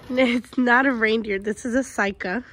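A young woman vlogging to camera at an outdoor petting farm, gushing excitedly and chatting to the animals, filmed on a phone held at arm's length. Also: fairly high pitch, moderate pace. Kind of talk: casual vlog chat to camera. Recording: noisy outdoor phone recording.

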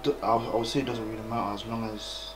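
A man's voice: on the low side, little pitch movement, quick pace. A young man with a British accent, chatting casually as a dating show contestant. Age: young adult. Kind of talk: casual chat. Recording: echoey, distant, music behind.

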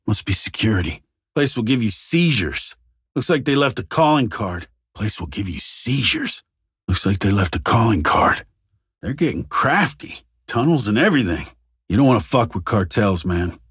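A jaded, foul-mouthed SWAT squad member from a video game. His voice is very raspy and husky at a middling pitch, with animated swings in intonation. He talks in casual, wry asides, recorded close and dry. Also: no room sound, exaggerated voice. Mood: wary, wry. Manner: casual, muttered wisecracks with swearing. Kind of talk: video game character barks.

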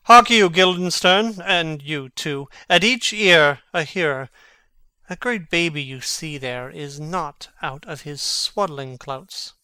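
A man acts a young prince in a Shakespearean drama, witty and mocking as he feigns madness. His voice is highish, very bright and clean, recorded close and dry. The delivery is theatrical, with a sly, sardonic edge. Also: slow pace, clean tone, no echo, full character voice. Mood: wry, bitter. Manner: theatrical, teasing, archly sardonic.

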